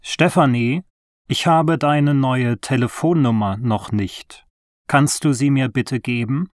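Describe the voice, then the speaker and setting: A man reading scripted lines for a language listening exam, slowly and carefully. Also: mid-range voice, no room sound, clean studio sound. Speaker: exam dialogue reader.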